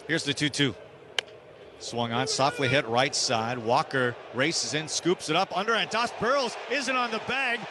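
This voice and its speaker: A man's voice: on the high side, moderate pace, noisy background. A man with an American accent calling live baseball play-by-play on radio in short, clipped phrases.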